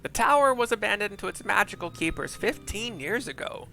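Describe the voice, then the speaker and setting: Man putting on a high-pitched crotchety old sorceress. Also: lively intonation, a little fast, creaky, pushed and bright, faint music behind, exaggerated voice. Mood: brusque. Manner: sardonic, imperious, brusque. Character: crotchety old female sorceress, sardonic and imperious.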